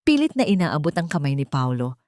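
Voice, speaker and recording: A woman with a fairly low voice reads a dramatic audiobook story. Her delivery is solemn and melodramatic. The recording is dry and close, with little room sound. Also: big pitch swings, brisk, a little mellow, no echo. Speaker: audiobook narrator. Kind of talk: dramatic scripted story narration.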